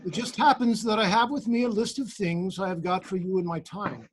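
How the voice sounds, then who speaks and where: An amateur reader playing a pompous, fussy male Lord High Chamberlain in a readers theater story. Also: a little hiss or hum, lightly acted. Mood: fussy, self-important. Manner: pompous, deliberate, enumerating.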